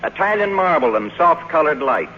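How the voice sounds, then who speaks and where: A man's voice: fairly high pitch, sing-song, normal speed. An actor playing a cynical, world-weary screenwriter in a 1950s radio drama, sardonic and blunt, narrating his own downfall. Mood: sardonic, brusque. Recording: muffled, noisy 1951 radio broadcast.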